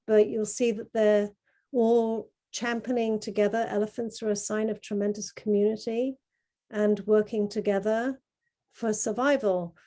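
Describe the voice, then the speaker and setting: A middle-aged woman with a soft-edged, mellow voice at an average pitch. She speaks in a measured, earnest way, presenting artwork to an online group over a video call. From grew up in Kenya. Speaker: artist presenting their work.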